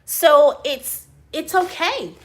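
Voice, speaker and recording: A woman with a notably high, bright voice hosts a live stream from her print shop office. She talks loudly and animatedly and breaks into big laughs between her answers to viewers. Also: animated, slow pace. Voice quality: high voice she compares to a cartoon mouse. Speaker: small business owner and channel host.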